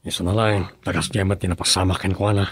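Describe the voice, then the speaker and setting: An actor playing a composed governor chatting with his wife in a measured way, in a radio drama. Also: low voice, flat delivery. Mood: calm. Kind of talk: Ilocano radio drama acting.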